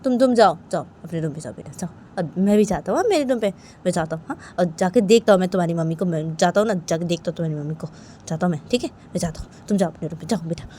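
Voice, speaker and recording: A woman's voice: lowish voice, expressive, racing, warm tone, slight background noise, close to an everyday voice. A woman voicing an exasperated dinosaur father in a story, blustering and repeating himself insistently. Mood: hesitant, placating.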